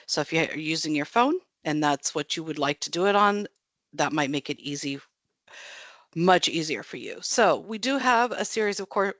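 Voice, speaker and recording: A woman's voice: deep voice, no echo. American woman moderating a webinar, dry close sound. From United States. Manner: friendly, chatty, with frequent ums and restarts. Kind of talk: casual announcements to an online audience.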